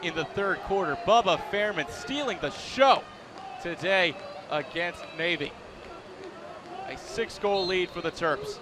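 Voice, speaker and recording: A young American man calling a live lacrosse game as play-by-play announcer, with an energetic announcer's delivery. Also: high voice, unhurried. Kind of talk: live lacrosse sports commentary. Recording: live game, loud crowd noise behind. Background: crowd and outdoor stadium ambience.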